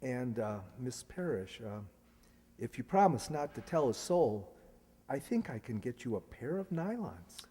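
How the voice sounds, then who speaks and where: A man's voice: mid-range voice, big pitch swings, measured pace, dark tone, slightly rough. A man playing a polite, bashful, well-to-do young suitor in old-time radio comedy, hesitant and courteous. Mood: eager, reassuring. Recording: echoey hall with long reverb.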